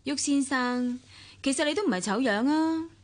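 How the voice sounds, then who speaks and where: A woman's voice: high voice, moderate pace, close to an everyday voice. A woman with a Hong Kong accent acting a weary, proud young wife in a radio drama, with a cutting, sardonic manner. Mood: cool, cutting. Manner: cutting, sarcastic. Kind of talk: Cantonese radio drama acting, monologue and dialogue.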